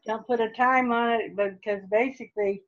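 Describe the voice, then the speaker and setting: Hesitant, hopeful woman, slightly high. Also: steady tone, moderate pace, muffled recording. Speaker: parent. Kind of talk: group meeting talk.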